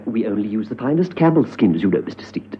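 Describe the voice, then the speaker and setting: An actor playing a fussy, effusive and exacting boss of a window-cleaning firm in a radio drama, with theatrical, hesitant manner. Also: male voice, lowish voice, very animated, fast, a little hiss or hum. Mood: fussy coaching. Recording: dull lo-fi vintage radio recording.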